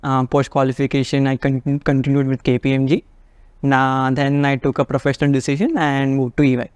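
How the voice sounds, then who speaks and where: A man's voice: mid-range voice, level tone, fairly quick, darkish tone, clean tone, no room sound. A man from Mumbai with an Indian accent, introducing himself casually as a guest on a panel interview. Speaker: guest, deal advisory professional.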